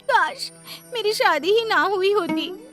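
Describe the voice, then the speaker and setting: Woman doing young cartoon bahu, really high plaintive voice, fed up n defiant, music behind. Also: lively intonation, takes it slowly, a bit bright, exaggerated voice. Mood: dismayed. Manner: plaintive, complaining. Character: young daughter-in-law, put-upon, weary, finally defiant. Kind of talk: Hindi cartoon family-drama voice acting.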